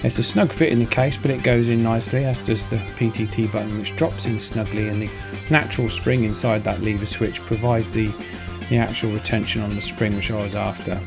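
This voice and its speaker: Low-pitched guy chatting through a diy project, pretty modest, guitar music going behind him. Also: little pitch movement, brisk, gravelly, few pauses. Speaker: maker presenting his hobby build. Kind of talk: casual how-to narration.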